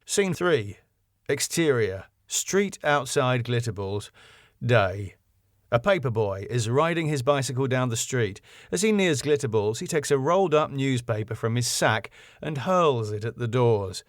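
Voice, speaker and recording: A man's voice: medium pitch, animated, unhurried, dry recording, clean studio sound. A man reading his own sitcom script as narrator, with scene headings and stage directions.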